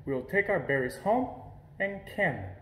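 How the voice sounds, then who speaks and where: Male reader as gentle, practical picture-book mum, patiently instructing her child, lively intonation, roomy sound. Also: a little high, unhurried. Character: gentle, practical mother of a little girl.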